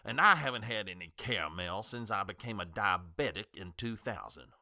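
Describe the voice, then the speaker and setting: An older male small-town doctor in an audio drama, skeptical and sardonic. The voice is fairly low but bright and lively, close and dry, and he lectures with condescension. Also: moderate pace, dry recording, heavily acted. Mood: scornful.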